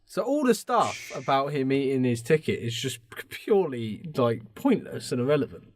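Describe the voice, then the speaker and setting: A man's voice: mid-range voice, sing-song, moderate pace, no room sound. A man co-hosting a podcast, bantering casually in a wry, teasing way. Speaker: podcast co-host.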